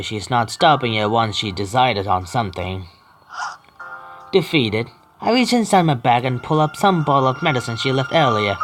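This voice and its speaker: A man hosting a gaming video, reading story text aloud haltingly with stumbles and adding casual asides. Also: medium pitch, fairly bright tone. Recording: faint game music behind. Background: faint video game music.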